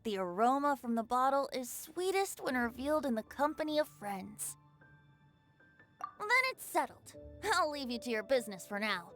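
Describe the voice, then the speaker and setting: A woman's voice: high voice, expressive, moderate pace, faint music behind. A woman voicing a carefree, teasing young boy bard in a video game, playful, breezy and theatrical. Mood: theatrical, sly.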